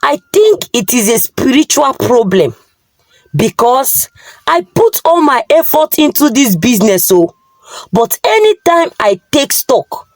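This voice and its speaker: Female narrator as young Nigerian man, lazy, excuse-making, pleading, high animated pitch, folktale. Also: no echo, exaggerated voice. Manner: pleading, evasive.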